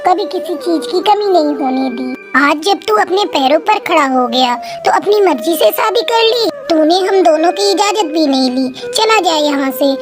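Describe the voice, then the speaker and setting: An angry mother crow turns on her son, voiced by a woman. Her harsh voice is very high. Cartoon music plays in the background. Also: expressive, moderate pace, few pauses. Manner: harsh, disowning.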